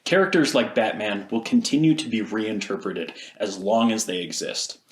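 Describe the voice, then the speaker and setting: A man's voice: on the low side, moderate pace. An American man co-hosting a video essay with scripted narration, recorded with audible room echo. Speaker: video essay host and teacher.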